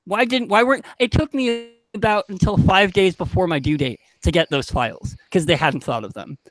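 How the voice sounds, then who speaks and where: A man's voice: high-pitched, normal speed, fairly bright tone, rough recording. A man talking casually as a podcast panelist and sound designer, telling anecdotes in an informal, emphatic way.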